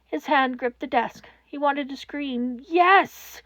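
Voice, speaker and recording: A woman reading a fan fiction story aloud in expressive scripted narration. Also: high-pitched, measured pace, no room sound.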